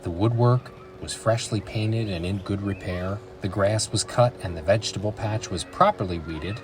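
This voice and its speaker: A man reading a children's story aloud in an animated storytelling style. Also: lowish voice, normal speed, noisy background. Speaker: children's book reader and channel host.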